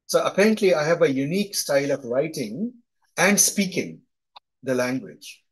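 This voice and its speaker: Man, Indian accent, middle-aged, slightly high, husky, video call lecture. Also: animated, moderate pace, low-quality recording. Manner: conversational, often tags "right?". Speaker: instructor. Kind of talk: live online lecture.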